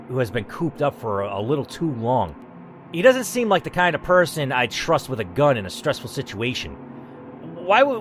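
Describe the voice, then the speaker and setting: A man's voice: medium pitch, slight background noise. A man reading an interactive story game aloud and stopping to think aloud about his choices, with the odd curse. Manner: reads in first person, then breaks off to think aloud and curse. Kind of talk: reading narration with playthrough commentary.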